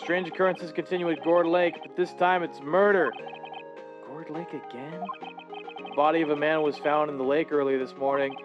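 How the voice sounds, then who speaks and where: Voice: mid-range voice, steady tone, normal speed, close to an everyday voice. A performer doing a TV news anchor. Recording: slightly dull lo-fi with music.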